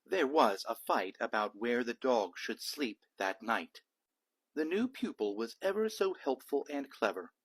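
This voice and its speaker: Slow, expressive, slightly husky male storyteller. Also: medium pitch. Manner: measured, rhythmic rhyming delivery. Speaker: read-aloud storyteller, a dad. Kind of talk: children's picture book narration.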